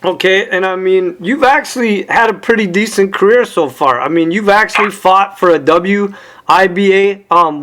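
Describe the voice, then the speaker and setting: A man's voice: high-pitched, a bit bright. A man hosting an interview show, asking direct, conversational questions and checking facts with his guest.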